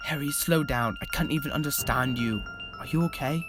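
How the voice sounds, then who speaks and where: A curt, short-fused young man from a boy band, voice dropped low and hushed, as a reader puts him on, with music in the background. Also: fairly quick, lightly acted. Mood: irritated. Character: hot-tempered young male pop singer. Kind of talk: reading fan fiction aloud.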